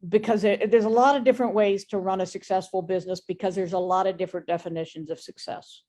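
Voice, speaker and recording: A woman's voice: mid-range voice, steady tone, quick pace, warm tone. A woman speaking frankly and with firm opinions in a conversational business talk over an online meeting, as a guest expert.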